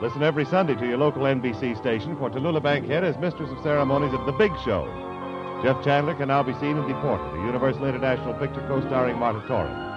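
A man's voice: medium pitch, fast talker, muffled recording. A man with an American accent announcing in a scripted 1950s radio style. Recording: vintage radio broadcast with music.

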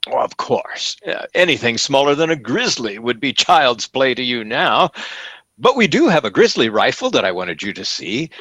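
An actor playing a fawning, pompous store salesman in an old-time radio comedy, with effusive, theatrical flattery. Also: mid-range voice, moderate pace, no room sound. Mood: flattering.

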